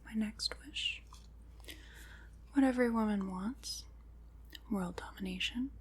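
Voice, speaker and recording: A woman playing a wry, faintly condescending witch in an ASMR roleplay, whispering softly and musing as she goes. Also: mid-range voice, a little hiss or hum, natural voice. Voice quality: soft, breathy whispering. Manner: unhurried, musing, dryly teasing.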